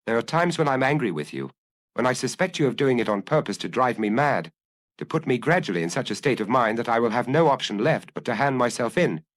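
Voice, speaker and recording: A male narrator acts out an anguished man on the run in an audiobook detective tale. He is restless and confessional, his pitch swinging in a dry, close recording. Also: expressive, brisk, no room sound, natural voice. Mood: vehement, agitated. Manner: agitated, confessional. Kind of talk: audiobook character dialogue.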